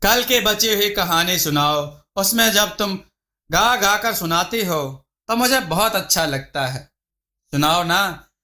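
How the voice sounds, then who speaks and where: एक पुरुष कथावाचक, जो माँ से लाड़ में बात करती स्कूली लड़की का किरदार बोल रहा है।. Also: male voice, high-pitched, lively intonation, takes it slowly, pushed and bright, heavily acted. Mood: coaxing. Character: eager, affectionate schoolgirl daughter pleading with her mother.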